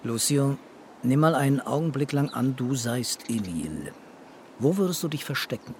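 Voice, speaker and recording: An actor playing a terse, matter-of-fact police commissioner who asks probing questions in a radio drama. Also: male voice, medium pitch, animated, moderate pace, slight background noise, lightly acted. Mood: dry, pondering. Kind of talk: naturalistic radio drama acting.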